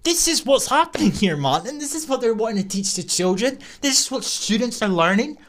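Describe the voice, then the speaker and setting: A man's voice: very high voice, sing-song, a little fast, a bit bright. A Scottish man giving screen-recorded commentary in an indignant, sarcastic manner, with scoffs and swearing. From Scotland.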